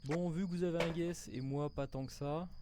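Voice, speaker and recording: Man, gaming commentary, joking with teammates, slightly high voice, loud noisy background. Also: fairly even intonation, a little fast. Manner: chatty, joking, talking to teammates. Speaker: gamer streamer.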